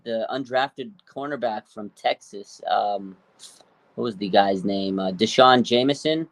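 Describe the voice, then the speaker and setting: A man's voice: on the low side, measured pace, no echo, poor audio. A man in his late thirties co-hosting a casual sports chat, opinionated and slangy with some swearing. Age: late 30s. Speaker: sports show co-host.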